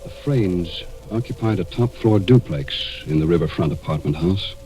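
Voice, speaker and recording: An American actor in 1940s radio style playing a wounded, determined man telling of a murder hunt, dramatic and at times halting. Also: sits low, lively intonation, loud music behind the voice, poor audio. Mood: grave narration. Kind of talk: 1940s radio acting, first-person narration and dialogue.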